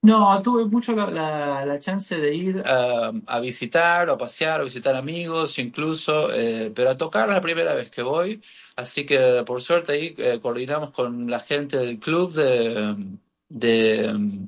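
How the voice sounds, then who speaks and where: A man's voice: a little high, lively intonation, measured pace. A man in his late thirties with a Buenos Aires accent, talking casually as a musician being interviewed, hesitant and rambling with trailing repetitions. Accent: Argentine (Buenos Aires). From Buenos Aires, Argentina. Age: late 30s. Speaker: musician guest, guitarist and singer-songwriter.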